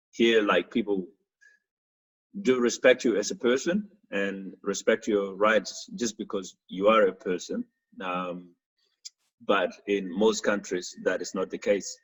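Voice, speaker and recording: A man's voice: low-pitched, husky. A man from South Sudan, a youth programs coordinator, speaking hesitantly with frequent fillers in a panel discussion. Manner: hesitant, many fillers and restarts. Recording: dull lo-fi recording.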